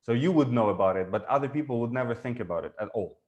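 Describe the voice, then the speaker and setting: A man's voice: mid-range voice, animated, fast talker, darkish tone, lo-fi sound. A man, not an English speaker, talking through ideas in a conversational discussion, thoughtful and prone to restarts. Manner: thoughtful, explains at length with frequent restarts. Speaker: guest.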